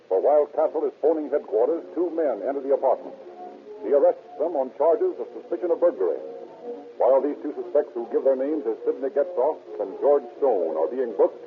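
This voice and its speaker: An American man giving scripted narration for a 1930s radio crime drama. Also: mid-range voice. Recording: muffled old radio recording, narrow band. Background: music.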